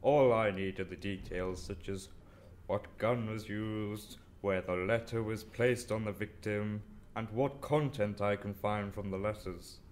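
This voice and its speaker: A man's voice: fairly low pitch, unhurried, natural voice. A man playing an arrogant, brilliant, easily bored detective in an audio drama, with a dismissive, clipped and condescending manner.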